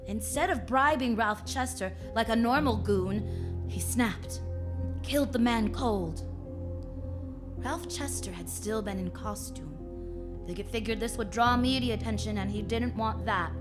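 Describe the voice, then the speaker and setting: Woman playing a wry, high-voiced radio lady detective. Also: lightly acted. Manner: wry, hard-boiled storytelling. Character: wry, flirtatious female private detective narrating her case. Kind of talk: vintage radio detective drama. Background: music.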